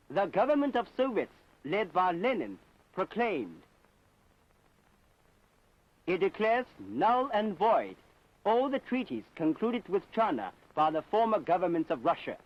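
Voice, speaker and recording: A man's voice: high-pitched, very animated, measured pace. A man narrating a scripted propaganda documentary in a solemn, emphatic, declamatory manner. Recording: dull 1960s film soundtrack.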